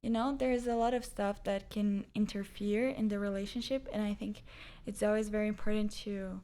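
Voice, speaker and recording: Soft-spoken young woman. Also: mid-range voice, steady tone, moderate pace. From Brazil. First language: Portuguese. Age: 20s. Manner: soft-spoken, modest, giggly. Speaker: guest, host's partner. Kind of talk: casual relationship chat.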